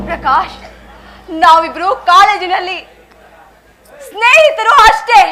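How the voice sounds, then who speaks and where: A no-nonsense young woman, as a woman plays her sets a lovesick friend straight in a very high, sharp voice. Her tone is reproachful and matter-of-fact, with loud background music throughout. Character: firm young woman rejecting a former friend. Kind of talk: audio drama acting.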